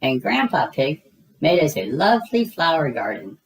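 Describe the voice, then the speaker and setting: A woman voicing a gentle, patient mother pig who speaks kindly. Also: low voice, sing-song, normal speed, poor audio. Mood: fond.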